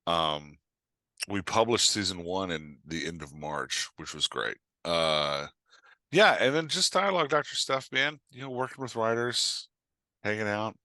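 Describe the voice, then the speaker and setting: Middle-aged male podcast guest, low voice with forward brightness, loose, jokey, rambling chat. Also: lively intonation, a little slow, no echo, clean studio sound. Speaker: podcast guest, author and writing coach. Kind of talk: casual chat.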